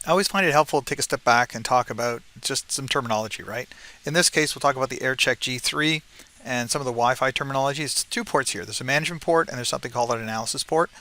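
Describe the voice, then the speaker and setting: A man presents a technical tutorial in a bright, crisp-edged voice at a middling pitch. He talks informally, like a technician explaining his routine, and often tags his points with a quick check that the listener follows. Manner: conversational, frequently checks with "right?".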